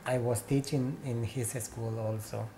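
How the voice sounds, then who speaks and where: A man in his forties from Peru, with a Spanish accent, telling his story in halting English full of pauses and restarts. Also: mid-range voice, fairly even intonation, background noise. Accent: Peruvian Spanish. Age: 40s. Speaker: interviewee, painter. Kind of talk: casual interview. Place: small room with painted walls, indoors.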